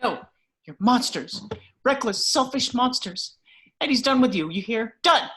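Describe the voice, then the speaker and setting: A woman's voice: lively intonation, a little slow, a bit bright. A woman voicing a hysterical, overprotective middle-aged mother who scolds the children. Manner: shrill, scolding. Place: home.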